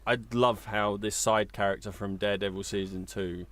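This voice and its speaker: A man's voice: lowish voice, steady tone, normal speed, a crowd in the background. A man co-hosting a podcast in casual, conversational chat.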